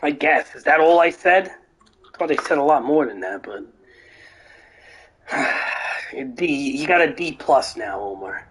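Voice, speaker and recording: A young man streaming a game, rambling and ranting, swearing now and then, his voice going hoarse. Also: fairly high pitch, expressive, takes it slowly, long pauses, a bit bright, low-quality recording. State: voice getting hoarse. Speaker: gaming streamer. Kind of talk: rambling live-stream chat.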